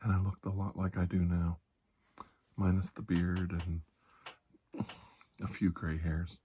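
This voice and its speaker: A man playing a calm, kindly doctor in a soft-spoken ASMR roleplay, reassuring and deliberate, with long pauses and breathy slips into whispering. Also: deep voice, slight background noise. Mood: reminiscing. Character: calm, kindly, ageless male doctor of alien medicine.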